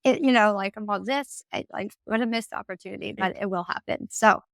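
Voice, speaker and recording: A woman's voice: medium pitch, brisk, vocal fry, no echo. A woman in her thirties co-hosting a podcast, chatting in a bubbly, gushing way. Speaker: podcast co-host. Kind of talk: casual chat.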